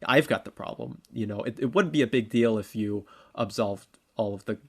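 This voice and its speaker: Low-voiced man in casual discussion. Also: normal speed, creaky, husky. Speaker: talk show co-host.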